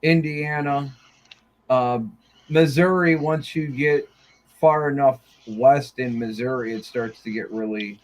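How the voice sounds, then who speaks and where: A man's voice: mid-range voice, fairly even intonation, slow, low-quality recording. A man from Iowa in casual podcast chat, rambling and swearing, often starting with "I mean". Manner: profane, rambling, repeats "I mean".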